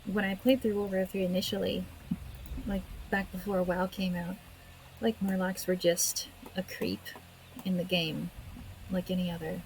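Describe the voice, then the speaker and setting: A woman's voice: on the low side, flat delivery, normal speed. A woman hosting a gaming video with casual, chatty, informal commentary. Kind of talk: casual let's play commentary. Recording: faint background noise, game ambience.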